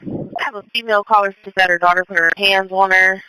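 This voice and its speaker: A man's voice: high-pitched, steady tone, fast, pushed and bright, clear, resonant tone, rough recording. A man working as a dispatcher, calling out units and addresses over two-way radio in a clipped, procedural manner. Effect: two-way radio transmission. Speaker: police and fire dispatcher. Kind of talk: scanner radio dispatch call-outs.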